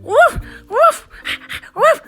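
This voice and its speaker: An excitable small puppy from a children's storybook, acted out by a woman, barking in spoken woofs. The pitch is high and leaps around playfully, with light music behind. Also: drawn-out words, full character voice. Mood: excited, playful. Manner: barks in spoken, emphatic woofs. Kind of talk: children's picture book read-aloud.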